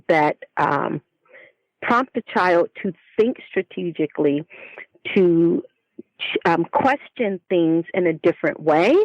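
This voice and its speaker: A woman's voice: lowish voice, no room sound, rough recording. A woman speaking as an author guest in a conversational interview, reflective and talkative as she explains herself.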